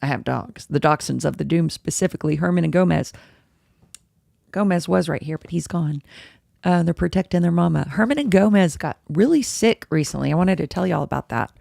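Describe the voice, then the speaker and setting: A woman hosting her own casual podcast, a little hoarse, chatty and rambling as she interrupts herself. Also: fairly quick, darkish tone, dry recording, studio quality. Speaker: podcast host. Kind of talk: casual solo podcast chat, live-streamed. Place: home room with dogs. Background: dogs and a squeaky toy at times.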